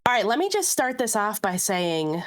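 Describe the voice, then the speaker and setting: A woman co-hosting a podcast in casual chat, upbeat and chatty, her voice a little rough and hoarse from a recent illness. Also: medium pitch, very animated, normal speed, faint music behind. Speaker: podcast co-host.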